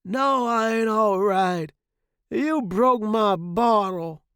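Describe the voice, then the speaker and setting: A man's voice: high voice, takes it slowly, clear, resonant tone, dry recording, clean studio sound, voice pushed up. A man voicing a brash, boozy, cantankerous old street performer with slangy, blustering speech, in a story reading. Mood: angry, aggrieved. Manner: slangy, blustering, loud outbursts.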